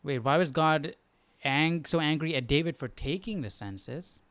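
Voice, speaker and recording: A man's voice: fairly high pitch, expressive, moderate pace, fairly bright tone, dry recording. A man hosting a live study stream in casual, informal chat, thinking things through aloud.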